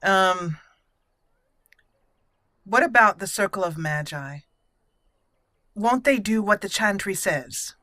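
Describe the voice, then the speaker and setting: A woman hosting a role-play game playthrough, speaking deliberately and theatrically in character, with casual asides. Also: medium pitch, lively intonation, pushed and bright, no room sound, studio quality. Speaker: gaming channel host.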